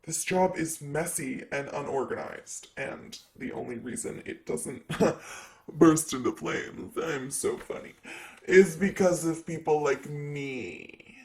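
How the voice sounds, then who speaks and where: Sassy demon secretary played by a man: higher-pitched male voice, unhurried, jaded, sarcastic, rambling, for ASMR roleplay. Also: vocal fry, a little mellow, poor audio. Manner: sarcastic, breezy, rambling with casual filler words.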